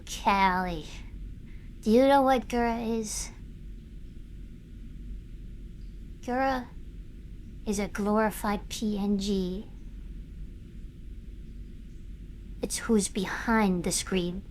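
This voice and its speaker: A woman's voice: medium pitch, steady tone, takes it slowly, slight background noise, lightly acted. A woman playing a proud, vengeful young female internet star in a dramatic audio drama, speaking in an imperious, bitter, theatrical way. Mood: scornful. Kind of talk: dramatic acted monologue, fan audio drama.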